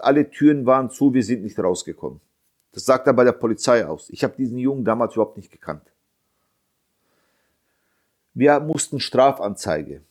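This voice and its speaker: Indignant German man around fifty. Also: mid-range voice. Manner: indignant, emphatic, repeats key points; sharp rhetorical questions. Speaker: interviewee, bereaved father. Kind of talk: impassioned interview testimony.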